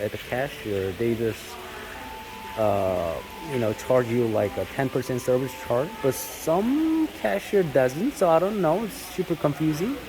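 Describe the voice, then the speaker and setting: A Korean-born man whose first language is Korean, talking casually to camera with lots of fillers and restarts. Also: medium pitch. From South Korea. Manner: rambling, many fillers and self-corrections. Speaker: vlogger. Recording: steady rain noise in background.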